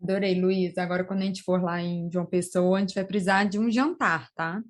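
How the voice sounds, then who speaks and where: A woman's voice: lowish voice, steady tone, brisk. A woman co-hosting a podcast, chatting in a friendly, casual way with her guest. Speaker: podcast co-host.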